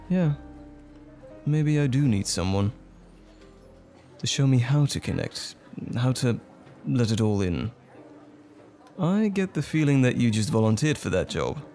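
A man playing a gentle, bashful romantic date in an ASMR roleplay, speaking tenderly and a little hesitantly. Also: medium pitch, big pitch swings, a little slow, long pauses. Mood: wistful. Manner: tender, hesitant, lightly chuckling flirtation. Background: music.

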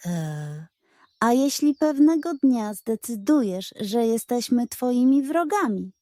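A woman reads as a composed pirate captain from a bedtime tale. He weighs his words slowly, with calm authority and a soft-edged tone. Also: a little high, no room sound. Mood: questioning. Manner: measured, thoughtful. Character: Captain Pazur, calm thoughtful male pirate animal captain.

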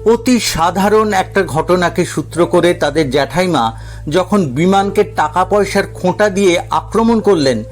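A man's voice: high voice, animated, unhurried. A man reading a scripted story as a narrator, with dramatic storytelling delivery. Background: background music.

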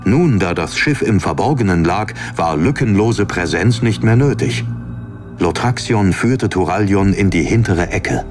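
A man with a very low voice narrates a fantasy audiobook in a dramatic, scripted style. Music plays clearly behind his reading. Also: animated, unhurried. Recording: narration over background music.

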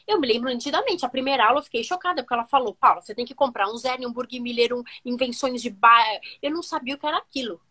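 A woman, telling stories on a video call from a room at home, animated and full of fillers. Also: on the high side, fast, hardly any pauses, husky. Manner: animated, gestures a lot, many fillers. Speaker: music teacher guest. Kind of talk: casual storytelling interview.

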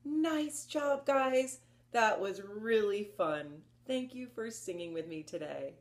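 A woman in her fifties presenting story time for young children, reading and explaining in an expressive, encouraging manner. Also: mid-range voice, takes it slowly. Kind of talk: children's read-aloud and instruction. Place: small furnished room at home, indoors.

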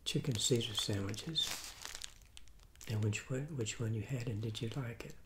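A man in his sixties, hoarse from a cold, reviewing fast food in a soft, half-whispered ASMR style with many restarts, seated at a table indoors. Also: medium pitch, very noisy. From Southeastern United States. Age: 60s. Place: small room at a table, indoors. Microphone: fixed camera mic at close range.

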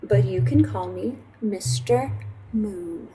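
A woman voicing a gentle, wistful male moon from a storybook, speaking softly and simply. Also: mid-range voice, takes it slowly, a little hiss or hum, low-quality recording. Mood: friendly, wistful.

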